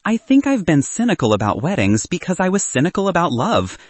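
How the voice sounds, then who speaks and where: A man's voice: a little high, huge pitch swings, fairly quick, no room sound, pitched above the performer's own voice. A man voicing a cynical young best man who is awkwardly sincere and argumentative in a romantic comedy audiobook. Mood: earnest confession. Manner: wry, argumentative, earnest.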